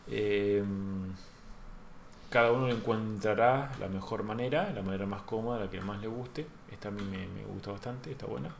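A man, hosting a live sculpting lesson and thinking aloud as he works, with a soft voice that trails off. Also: fairly low pitch, normal speed, vocal fry, echoey room, slight background noise. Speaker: host sculptor teaching.

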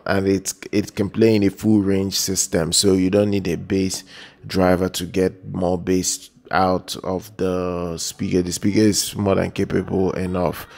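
A man with a very low, creaky voice hosts a product news voice-over. His delivery is flat and halting, circling back to repeat phrases and stretching out his hesitations as he goes. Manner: halting and repetitive, with drawn-out hesitations. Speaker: product news video host.

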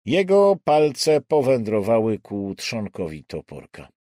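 A man's voice: on the low side, huge pitch swings, slow, dark tone, dry recording. A man reading a thriller audiobook as dramatic scripted narration on a close studio microphone.

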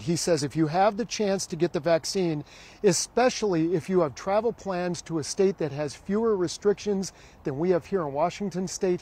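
A man's voice: on the high side, a little mellow. A middle-aged man delivering a scripted television news report as the reporter.

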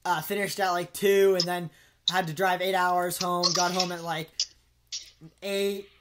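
Young man, high voice, echoey room. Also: measured pace, noisy background. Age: young adult. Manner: rambling, profane, energetic. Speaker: podcast host. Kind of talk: casual chat.

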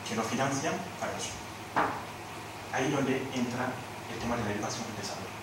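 A man from Senegal gives a conference talk from a panel table, halting and repeating himself as he lists his points. The sound is heard from far across a very echoey hall. A steady murmur of room noise runs under it. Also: mid-range voice. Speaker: NGO speaker at a panel. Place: large hall with audience. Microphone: table mic at a panel, recorded from far off.

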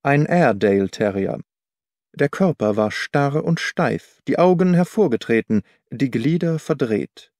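A man reading literary audiobook narration in a measured, carefully articulated storytelling manner. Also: mid-range voice, darkish tone, no room sound, studio quality. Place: dry studio.

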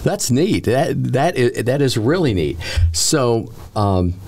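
An older man hosting a radio interview in a small studio, talking in a folksy, halting way with many restarts. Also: medium pitch, big pitch swings, darkish tone. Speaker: radio host. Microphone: studio mic with headphones.

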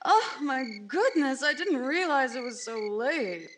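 A woman acting as a gushing housewife in a radio play, high-voiced and unhurried. Her pitch swoops about as she fusses, with chirping insects behind. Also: lively intonation, a bit bright, slight background noise. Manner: gushing, fussy. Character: chatty, gushing, bossy suburban wife. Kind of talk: old-time radio drama acting. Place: indoors at home, video call setup.

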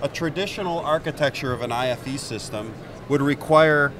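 An upbeat middle-aged executive talks through his product, pointing at the hardware as he goes. His voice sits mid-range, caught on a handheld mic. Steady hall chatter hums behind him on a noisy expo floor. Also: mid-range voice, fairly quick. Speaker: company executive. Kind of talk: trade show product demo. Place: busy indoor expo hall. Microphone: handheld mic held by interviewer. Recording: noisy trade show floor.

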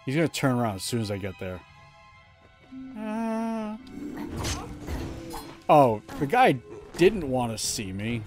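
A man in his thirties talks to himself through a live game stream, poking fun at his own play. His voice rises and falls a lot as he goes. Game music plays clearly under him in a small room at home. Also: mid-range voice, sing-song, slow, pauses often. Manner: self-mocking, mutters to himself while playing. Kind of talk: casual live gameplay commentary.

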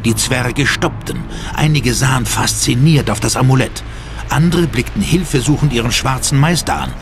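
A man's voice: mid-range voice, moderate pace, gravelly, background noise. A man narrating a horror audio drama as a scripted third-person storyteller. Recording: dramatic music underneath.

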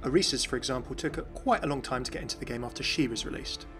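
A man's voice: mid-range voice, fast talker, over music. A man hosting a game lore video, talking through voice lines in a chatty, enthusiastic voice-over. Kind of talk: scripted commentary voice-over.

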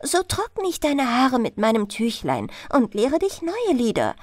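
Earnest, high-pitched little girl, played by a woman. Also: huge pitch swings, unhurried, dry recording, clean studio sound, heavily acted. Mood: eager. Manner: earnest, pleading storybook delivery. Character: kind little girl, pleading, tender and selfless.

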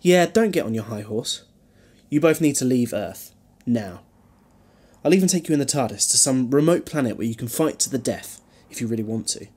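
A man playing a flippant, protective alien time traveller in a fan audio drama, with a husky voice and wry, bantering delivery. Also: mid-range voice, expressive, moderate pace, darkish tone, lightly acted. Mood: stern, defiant.

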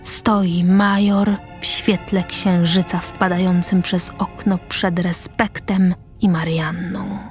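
A woman narrates a scripted radio play over music. Her mid-pitched voice moves slowly through short, measured phrases of stage direction. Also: flat delivery. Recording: music behind the voice.

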